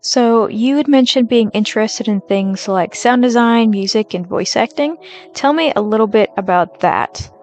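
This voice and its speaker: A woman's voice: on the high side, normal speed, darkish tone. A woman asking questions as a casual interviewer.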